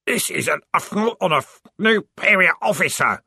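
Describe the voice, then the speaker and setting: A man voicing a petty male corporal who screams indignantly in high fury. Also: animated, slow, bright, forceful tone, husky, no room sound, clean studio sound, full character voice. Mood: furious.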